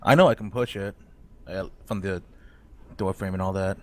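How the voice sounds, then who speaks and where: Male player, low voice, casual and hesitant, working out tactics aloud. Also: moderate pace. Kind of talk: casual game discussion.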